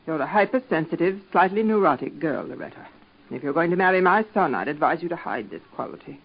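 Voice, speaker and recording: Husky, haughty middle-aged matriarch. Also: female voice, low-pitched, big pitch swings, fast talker, full character voice. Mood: sharp, scolding. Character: worried older English village matriarch, sharp and haughty. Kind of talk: 1940s radio soap acting. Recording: dull old radio drama recording.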